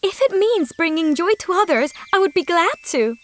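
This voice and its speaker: A woman's voice: very high-pitched, very animated, moderate pace, faint music behind. A woman voicing a humble, kind-hearted young girl in a fairy tale, polite and modest in manner. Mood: modest, earnest. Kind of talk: fairy tale character acting.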